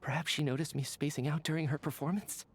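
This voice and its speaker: A man's voice: on the high side, fairly even intonation, fast, slightly rough. An actor voicing a chatty, easygoing and polite male official in a video game, talkative and theatrical. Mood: puzzled. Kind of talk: video game character dialogue.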